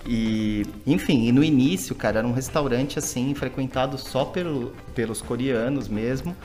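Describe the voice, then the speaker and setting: A man, co-hosting a casual food chat and often tagging his sentences with quick questions. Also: medium pitch, darkish tone, faint music behind. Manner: easygoing, leans on tag questions. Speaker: podcast co-host, food reviewer.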